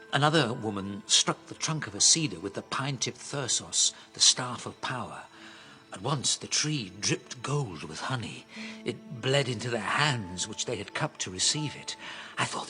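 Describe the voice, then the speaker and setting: A man's voice: medium pitch, pushed and bright. An actor playing an awestruck soldier reporting to his king in an audio drama, with an earnest, vivid manner. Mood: awed, pleading. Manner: earnest, vivid, pleading testimony.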